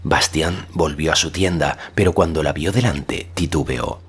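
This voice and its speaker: A man narrating a literary audiobook in a measured, solemn storytelling manner. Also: a bass voice, husky. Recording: faint music behind the voice.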